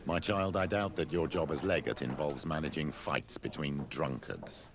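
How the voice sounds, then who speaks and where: An actor voicing a paternal mentor in a video game, dry and admonishing. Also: male voice, extremely low pitch, normal speed, crowd noise.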